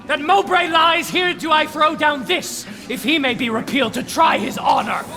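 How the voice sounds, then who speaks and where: An actor playing a hot-headed, defiant nobleman who blusters and shouts in a Shakespearean radio play. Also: very high voice, moderate pace, over music, crowd noise. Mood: angry defiance. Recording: reverberant, noisy radio drama scene.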